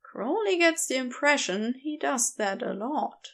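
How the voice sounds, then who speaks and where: A woman's voice: sits high, animated, a little slow, vocal fry, fairly bright tone. A woman narrating a story as an audiobook, reading expressively from a script.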